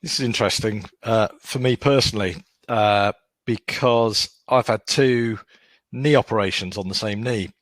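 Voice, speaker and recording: Low-voiced man co-host, dry sound. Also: normal speed, fairly bright tone, no room sound. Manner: thoughtful, personal, hesitant. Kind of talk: conversational questioning.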